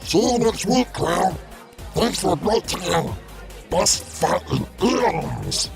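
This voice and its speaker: Alien tavern regular: friendly creature, heavy growl, slow delivery, sci-fi audio drama. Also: male voice, high voice, sing-song, creaky, faint music behind, full character voice. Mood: cheerful.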